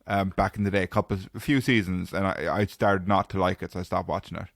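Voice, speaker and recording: A young Irish man with a low-pitched voice, trading jokes with his friends. He tells stories in a loose, bantering way. His voice is recorded close and dry, with almost no room sound. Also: fairly even intonation, fast, vocal fry, no room sound. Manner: jokey, banters with friends, laughs while telling stories. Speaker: podcast co-host. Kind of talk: casual chat.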